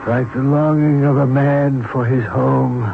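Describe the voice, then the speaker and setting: An actor playing a dying Egyptian pharaoh, solemn and halting, in a 1970s radio drama. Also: male voice, medium pitch, steady tone, gravelly, a little hiss or hum. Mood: solemn, resigned. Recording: muffled old radio broadcast, faint music.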